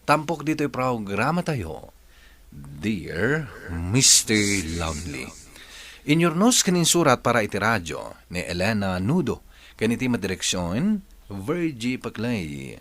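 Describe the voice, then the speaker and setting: A man's voice: fairly low pitch, big pitch swings, unhurried, fairly bright tone, no room sound. A man with a Filipino accent hosting a radio drama programme in a dramatic, scripted presenting style. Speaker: radio drama host and narrator.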